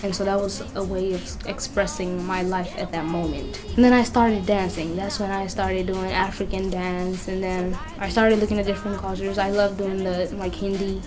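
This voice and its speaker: A woman's voice: medium pitch, little pitch movement, moderate pace, few pauses. A woman from Kenya speaking candidly in an interview, often using fillers like "you know" and "like.". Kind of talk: personal interview narrative. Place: community youth center. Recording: music bed under interview. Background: music playing underneath.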